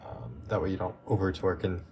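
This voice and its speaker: A man hosting a relaxed, chatty repair walkthrough, his voice going a bit hoarse as he works. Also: sits low, quick pace, a little hiss or hum. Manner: relaxed, chatty, with many fillers. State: losing his voice. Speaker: tech repair video host.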